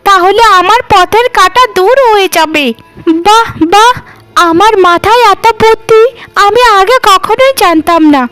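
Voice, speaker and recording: A woman's voice: very high voice, a little slow, fairly bright tone, dry recording. A woman voicing a sly, jealous, greedy sister-in-law in a theatrical cartoon melodrama. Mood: scheming, gloating. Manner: theatrical, conniving.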